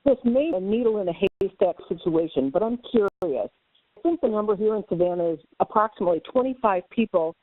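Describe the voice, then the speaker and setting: A woman's voice: medium pitch, no room sound. A woman calling in to a webinar to ask a question conversationally, over a tinny phone line. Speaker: audience member asking a question. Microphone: telephone call-in.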